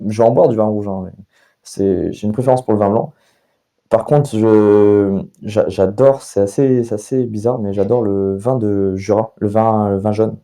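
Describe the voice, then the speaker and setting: A man's voice: lowish voice, fairly even intonation, normal speed, mellow. A young man, a bartender and sommelier, chatting casually on a podcast, with lots of hesitations and repeated words.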